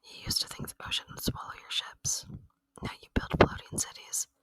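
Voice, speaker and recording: A woman voicing a gentle, lonely female alien in an ASMR roleplay, whispering tenderly and intimately in a breathy voice, close to the microphone. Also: no echo, close to an everyday voice. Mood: tender, hopeful. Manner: slow, tender, intimate, earnest. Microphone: close microphone, dry.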